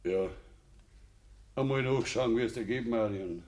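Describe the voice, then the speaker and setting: A man acting as an old Bavarian farmer in a naturalistic radio drama, with a middle-pitched voice. He talks in terse rural dialect, gruff and proud, with the bitterness of an ashamed father. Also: measured pace, darkish tone, natural voice. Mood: low, troubled. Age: older.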